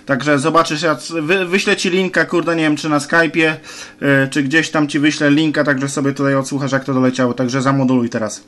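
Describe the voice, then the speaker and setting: A man's voice: a little high, little pitch movement, brisk, fairly bright tone. A man chatting casually as a radio operator, slangy and given to repeating phrases. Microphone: handheld camera near radio set. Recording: noisy handheld camera recording, radio clicks.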